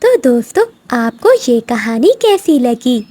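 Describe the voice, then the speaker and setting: A woman tells a cartoon fable as a scripted narrator. Her voice is high but soft-edged, and she takes the storybook lines slowly. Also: sing-song.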